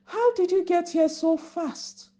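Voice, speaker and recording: A woman's voice: very high voice, takes it slowly, very noisy, voice pushed up. A woman voicing a boastful, mocking male fish in a children's storybook, on a slightly dull recording. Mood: astonished. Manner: mocking, incredulous. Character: boastful, speedy, scoffing male river fish. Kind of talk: storybook character voice.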